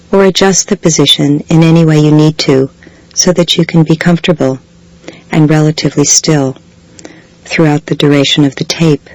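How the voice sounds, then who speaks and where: A woman guiding a body scan meditation in a slow, measured way, leaving long pauses between phrases. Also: low-pitched, darkish tone, no room sound, poor audio. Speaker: meditation guide. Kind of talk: guided body scan meditation, scripted soothing instruction.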